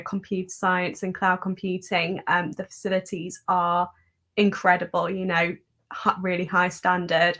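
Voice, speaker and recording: A woman's voice: lowish voice, a bit bright. A young woman from Lincoln with an English accent, speaking casually as a student panellist. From Lincoln, England.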